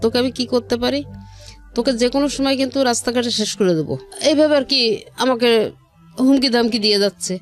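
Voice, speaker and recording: A woman's voice: on the high side, fairly quick, pauses often. A middle-aged woman with a Bangladeshi accent tells her personal story in an interview, earnest and repeating her phrases, often acting out quoted speech. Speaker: guest telling her life story. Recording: music under the voice. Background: background music.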